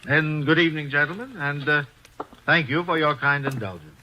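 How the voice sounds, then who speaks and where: A nervous, secretive middle-aged bank president in a 1950s radio play. He speaks haltingly, pausing often, in a bright, tense voice. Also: on the high side, lively intonation, muffled recording, slight background noise. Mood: hesitant. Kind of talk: 1950s radio drama acting.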